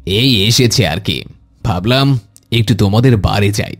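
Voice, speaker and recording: A fairly low male voice as an older family man in a cartoon. He sounds genial and chats casually, like a visiting father-in-law. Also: measured pace. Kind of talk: animated cartoon dialogue.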